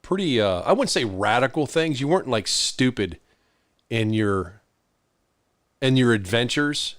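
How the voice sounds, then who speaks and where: A man's voice: medium pitch, expressive, unhurried, fairly bright tone, no echo, studio quality. A middle-aged man co-hosting a podcast, chatting casually and telling stories in an informal way, acting out bits of dialogue. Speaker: podcast co-host. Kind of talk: casual chat, reminiscing.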